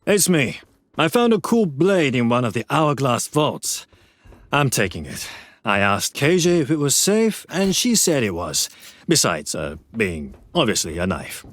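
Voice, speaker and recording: An actor playing a cocky, curt male video game agent with sly, deadpan humor. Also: medium pitch, a little slow, dry recording. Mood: cocky, offhand.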